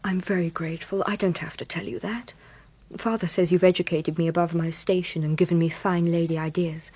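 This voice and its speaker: An actress playing a dutiful, grateful young English woman, gentle and deferential, in a radio drama. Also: fairly low pitch, fairly even intonation, fairly quick, breathy edge. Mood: earnest, grateful. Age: young adult.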